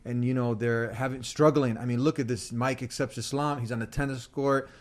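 A man's voice: mid-range voice, fairly even intonation, brisk. A man interviewing a guest in a conversational way, reacting emphatically with exclamations.

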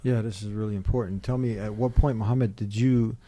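A man hosting an interview, asking probing questions in a steady manner with "you know" fillers. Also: on the low side, fairly quick. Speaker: interviewer, host. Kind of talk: casual interview talk.

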